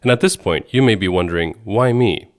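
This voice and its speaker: A man with a low-pitched voice hosts a podcast. He reads scripted narration in a measured, articulate way, like a radio journalist introducing his program. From Sweden, grew up in Egypt and Jordan.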